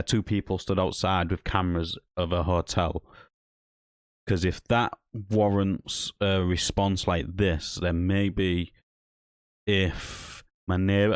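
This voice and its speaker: A man's voice: low voice, clean tone, no echo, studio quality. A man from Hull, commenting critically on a video clip and explaining his view to viewers. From Hull, East Yorkshire. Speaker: commentator reviewing an audit video. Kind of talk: reaction commentary.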